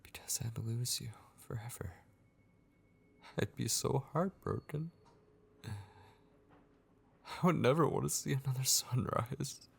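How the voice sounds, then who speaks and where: A man voicing a sinister, possessive figure taunting a captive vampire in an ASMR horror roleplay, husky and often dropping into a whisper, coaxing with mock tenderness. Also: medium pitch, heavily acted. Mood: flattering, possessive, then plaintive, pleading. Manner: slow, coaxing, mockingly tender. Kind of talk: ASMR horror roleplay, intimate dramatic monologue.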